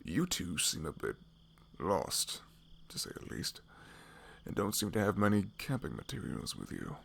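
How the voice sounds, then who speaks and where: A man voicing an older, gruff but jovial leader of travelers, teasing and self-assured, in a fantasy audio roleplay. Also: deep voice, big pitch swings, a little slow, a bit bright, full character voice. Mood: inviting.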